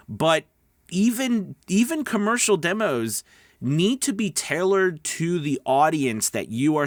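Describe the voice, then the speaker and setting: A man's voice: mid-range voice, fairly bright tone, dry recording. Conversational man, dry close sound. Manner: conversational, emphatic, checks in with "okay?" and "right?". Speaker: voice-over coach and video host. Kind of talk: casual instructional talk to followers.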